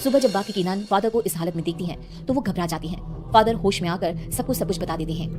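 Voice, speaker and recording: A woman's voice: on the low side, brisk, music in the background. A woman narrating a scripted horror cartoon story as its storyteller.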